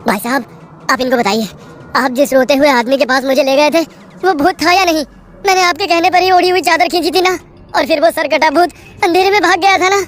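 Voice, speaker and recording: A woman voicing a frightened young male railway worker who pleads breathlessly in a high voice. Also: steady tone, racing, few pauses, a little mellow, natural voice. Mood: desperate.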